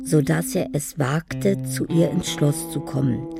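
A woman's voice: low voice, a little slow, vocal fry, music in the background, full character voice. An actress playing a dreamy woman in care who tells her memories poetically in a radio drama. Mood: wistful, remembering. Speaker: narrator and lead character.

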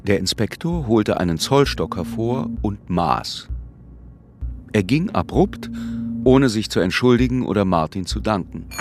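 A man narrating a literary radio drama in a measured, sober manner. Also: on the low side, animated, music in the background. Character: measured, literary narrator of a small-town tale.

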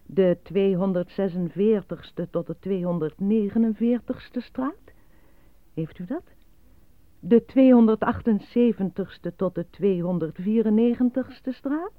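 Actress as a formal announcer, dry muffled sound. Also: female voice, medium pitch, no room sound, close to an everyday voice. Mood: official, measured. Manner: formal, measured, matter-of-fact. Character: formal dystopian state broadcast announcer reading grim bulletins. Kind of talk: scripted news bulletin in radio play. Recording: dry, dull lo-fi radio recording.

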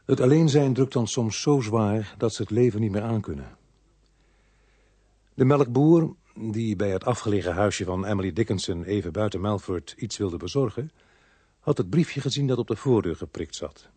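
Low-voiced police inspector, dryly narrating. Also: male voice, expressive, normal speed, dark tone, husky, no echo. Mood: sober narrating. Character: brisk, world-weary male police inspector narrating his case. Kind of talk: radio crime drama acting and first-person narration.

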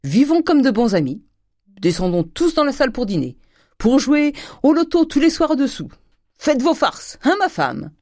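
Woman playing a blustering, wheedling old miser, excitable pitch. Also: moderate pace, no echo, clean studio sound. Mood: forced cheer. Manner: exclamatory, wheedling, abrupt short phrases. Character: miserly old father, gruff, cajoling, blustering cooper.

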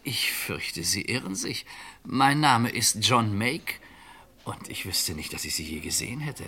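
A man's voice: lowish voice, sing-song, measured pace, gravelly, pushed and bright, full character voice. A man playing a cornered but composed office worker in a radio crime play, controlled, formal and courteous. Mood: guarded, denying.

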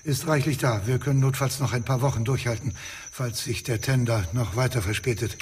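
An actor playing a hospitable camp host in a radio drama, chatty, courteous and slightly theatrical. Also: male voice, mid-range voice, steady tone, a little fast, darkish tone, slight background noise, close to an everyday voice. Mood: jovial. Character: genial, fussily hospitable male research camp host.

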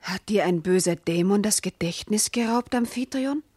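An actress playing a dignified, wounded but steadfast noble wife in a classical verse radio drama, with elevated, earnest declamation. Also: female voice, medium pitch, normal speed, darkish tone, breathy edge, no room sound, studio quality, close to an everyday voice. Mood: hurt indignation.

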